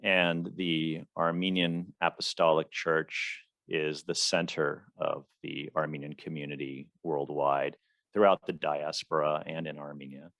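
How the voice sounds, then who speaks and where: A man with a very low voice who talks slowly and with care. He is a podcast guest from the Washington, D.C. area, telling his story in a measured, thoughtful way. The recording is close and dry, with no room sound. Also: little pitch movement, gravelly, a bit bright, no echo. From Washington, D.C. area, USA. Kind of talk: interview storytelling.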